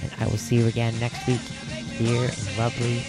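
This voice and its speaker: Hoarse, gravelly male podcast host. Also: medium pitch, level tone, normal speed, over loud music. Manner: casual, many "uh" fillers, repeats himself. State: losing his voice, scratchy. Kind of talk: solo podcast talk.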